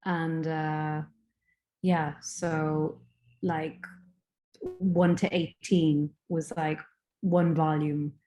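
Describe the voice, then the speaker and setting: A woman's voice: deep voice, flat delivery, drawn-out words, long pauses, dark tone. A woman with an Irish accent, a guest on a casual interview, talking with many ums and restarts. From Ireland. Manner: hesitant, many ums and restarts, laughs easily.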